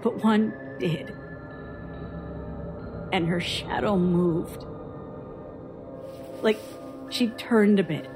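A haunted woman recalls childhood terrors in a horror audio drama. She speaks very slowly and haltingly, with an uneasy, suspenseful hush and faint music underneath. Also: mid-range voice. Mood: haunted, tense. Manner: slow, halting, hushed suspense. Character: haunted woman recounting frightening childhood memories to a doctor.

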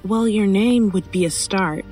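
A woman's voice: mid-range voice, a little slow, a little mellow, soft background music, lightly acted. An actress playing a guarded, solitary woman in an audio horror drama, dry and sceptical yet curious, hesitant when pressed. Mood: wry, probing.

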